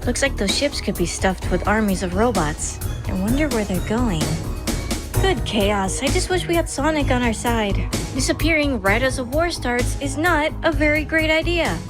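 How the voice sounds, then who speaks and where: A woman plays an earnest young cartoon sidekick with a fairly high voice. The character sounds worried and heroic, with music playing clearly behind the lines. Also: animated, moderate pace, natural voice. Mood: concerned. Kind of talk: fan comic dub acting.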